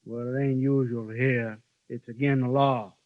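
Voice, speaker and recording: An actor playing a genial but menacing frontier town boss with a folksy drawl in a 1950s radio western. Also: male voice, mid-range voice, steady tone, measured pace, no echo, lightly acted. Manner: folksy drawl with a veiled threat. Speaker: town boss who lays down the law. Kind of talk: 1950s radio drama acting. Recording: dry, dull old radio broadcast.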